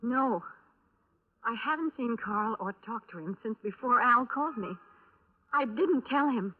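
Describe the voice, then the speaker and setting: Nervy young widow in an old radio crime play, hesitant and defensive, thin tinny sound. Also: female voice, fairly high pitch, animated. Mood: anxious. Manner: halting, hesitant denials and admissions. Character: defensive, uneasy murdered man's young widow under questioning. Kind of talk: 1950s radio drama acting. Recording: tinny band-limited old radio recording.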